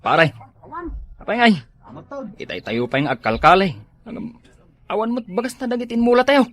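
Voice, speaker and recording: A man's voice: sits high, big pitch swings, normal speed, fairly bright tone. A man with a Filipino Ilocano accent playing a nagging yet idle village drinking buddy in an amateur comedy drama. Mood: dismayed.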